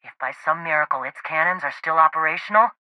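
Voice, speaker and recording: An actress playing a tough, gruff female rebel leader in a video game, with a blunt, salty, commanding manner. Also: low-pitched, quick pace, dry recording, muffled recording, lightly acted. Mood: urgent, pleading.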